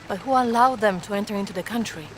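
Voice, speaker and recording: A woman's voice: mid-range voice, fast, a little hiss or hum, close to an everyday voice. An actress playing a witty, candid young woman, an adventurer's companion in a video game. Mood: composed.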